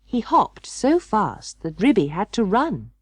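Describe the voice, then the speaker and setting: A woman narrating a children's story as an audiobook, in a measured, expressive way. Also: medium pitch, no room sound, studio quality.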